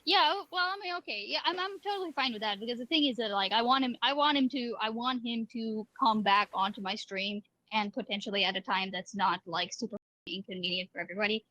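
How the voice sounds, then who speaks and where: A woman's voice: a little high, fast talker, hardly any pauses, sharp tone, rough recording. A woman streamer on a call, talking casually and hesitantly, often restarting her sentences.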